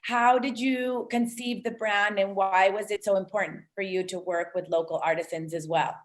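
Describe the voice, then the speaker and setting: A woman's voice: mid-range voice, fairly even intonation, moderate pace. A woman moderating a panel on a video call, asking polite, hesitant questions with restarts. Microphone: laptop webcam on a video call.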